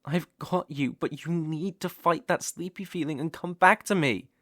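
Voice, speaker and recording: A British man playing an obsessive, softly possessive stalker in an intimate ASMR roleplay, hushed and coaxing. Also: fairly high pitch, moderate pace, no room sound, studio quality. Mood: anxious, pleading.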